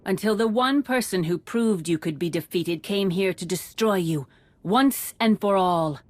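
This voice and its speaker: An actress playing a wise, defiant Jedi master in a video game, composed and measured. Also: female voice, medium pitch, dry recording, close to an everyday voice. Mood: resolute. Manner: measured, assured. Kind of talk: dramatic video game acting.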